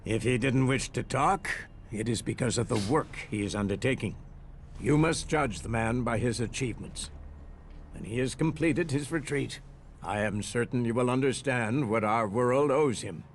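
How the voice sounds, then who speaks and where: A male actor voices an earnest devotee in a sci-fi game. His mid-pitched voice is lofty and formal, full of reverence. Faint ambient noise runs behind the speech. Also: normal speed, lightly acted. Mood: reverent, defensive. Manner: formal, lofty, defensive of authority. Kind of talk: video game dialogue acting.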